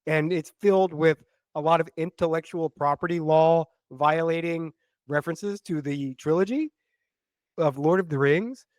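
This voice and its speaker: Podcast co-host: man, a bit high-pitched, wry and teasing, halting restarts, dry close sound. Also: dry recording. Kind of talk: casual comedic podcast chat.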